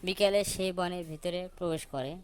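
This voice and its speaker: A man's voice: sits high, steady tone, normal speed. A man narrating a scripted children's story. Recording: noticeable background noise.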